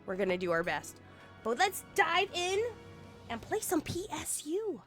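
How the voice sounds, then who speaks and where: A woman's voice: high-pitched, sing-song, measured pace, a bit bright, faint music behind. A woman streaming a game and chatting casually with her viewers, sounding nasal and sniffly from congestion. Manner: bubbly, playful, chatty with viewers.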